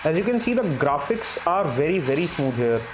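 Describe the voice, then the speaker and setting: A man's voice: on the high side, sing-song, fairly quick, background noise. A man giving a product review as a tech reviewer, explaining things step by step.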